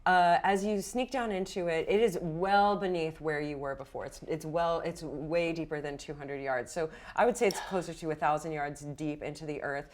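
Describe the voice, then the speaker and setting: A woman's voice: low voice, moderate pace, few pauses. A woman game master narrating improvised tabletop adventure in an informal, conversational, playful way.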